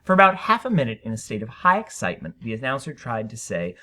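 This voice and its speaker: Animated, bright-voiced man reading a story. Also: mid-range voice, fast. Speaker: story reader. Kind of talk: dramatic scripted narration of a short story.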